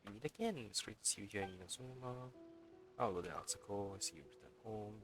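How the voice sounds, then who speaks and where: A man with a slightly low voice does let's play commentary on a livestream. He talks quickly, reading game dialogue out loud, with music clearly behind him. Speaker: livestreamer playing a video game.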